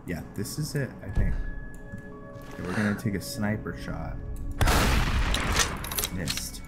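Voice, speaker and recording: A man giving casual, low-key video game commentary, thinking aloud as he plays, with music behind him. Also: measured pace. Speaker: gameplay host.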